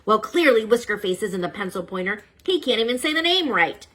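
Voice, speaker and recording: A woman voicing an excitable male turtle professor, talking in a high, worked-up way in a read-aloud storybook. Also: fairly quick. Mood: reasoning.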